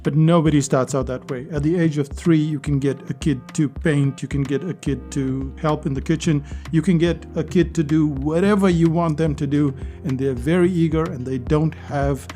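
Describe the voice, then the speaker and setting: A man's voice: on the high side, fairly even intonation, moderate pace, few pauses, dark tone, faint music behind. A man hosting a solo podcast, talking in an earnest, conversational way and repeating phrases for emphasis. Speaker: podcast host.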